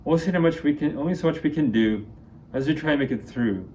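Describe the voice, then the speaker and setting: A man's voice: fairly high pitch, animated, fast, dark tone, slight background noise. A man reciting his own rhyming spoken-word poems as an amateur poet at a reading.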